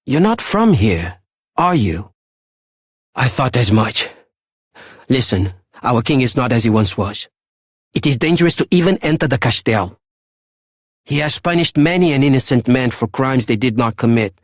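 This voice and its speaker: A man's voice: medium pitch, animated, moderate pace, long pauses, dry recording, studio quality, lightly acted. An actor playing an anxious, well-meaning townsman giving earnest warnings in a video game. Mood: worried warning. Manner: earnest, urgent, cautioning. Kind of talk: video game character acting.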